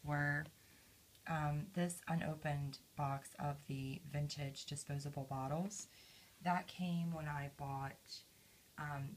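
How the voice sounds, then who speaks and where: A woman with a low voice, hoarse from yelling the day before, talks through her collection in a relaxed show-and-tell, pausing with soft ums. She sounds close to a handheld camera mic at home, with a faint hum of room ambience behind her. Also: rough recording. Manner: gentle, with many ums. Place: indoors at home, camera over a table. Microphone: handheld camera mic, speaker off-camera.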